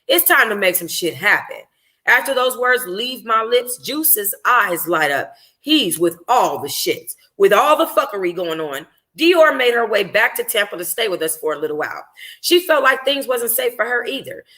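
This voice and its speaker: A woman reading a first-person novel aloud in an expressive, informal way. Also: mid-range voice, moderate pace. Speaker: audiobook reader, book club host.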